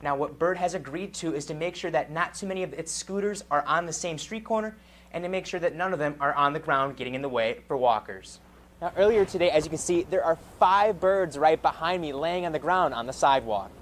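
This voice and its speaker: A man's voice: on the high side, a little fast, slight background noise. A man working as a TV news reporter, delivering scripted broadcast narration and live reports.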